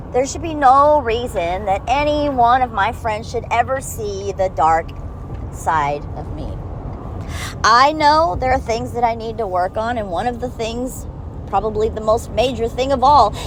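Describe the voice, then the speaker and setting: A woman with a high-pitched voice gives a blunt, profane monologue straight to the listener, slowing down to stress key words. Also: a little hiss or hum, poor audio. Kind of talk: direct-to-camera monologue.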